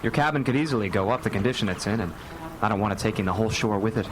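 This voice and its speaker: A man's voice: fairly low pitch, very fast, close to an everyday voice. An actor in a live radio drama playing a principled, protective father and attorney, firm and articulate. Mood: warm, firm. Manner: firm, articulate, slightly formal. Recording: noisy live radio play, watery ambience.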